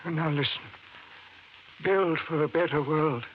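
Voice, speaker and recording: An actor playing a frail, dying old professor in an old-time radio drama, speaking weakly, haltingly and with urgency. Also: male voice, fairly high pitch, close to an everyday voice. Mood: weak, urgent. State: weak, failing. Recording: muffled, hissy old radio recording.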